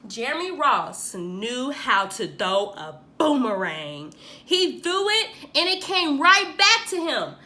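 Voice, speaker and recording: A woman reading a children's picture book aloud in an expressive, theatrical way, like a teacher acting it out for young pupils. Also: high voice, slow pace. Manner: theatrical, acts out the dialogue.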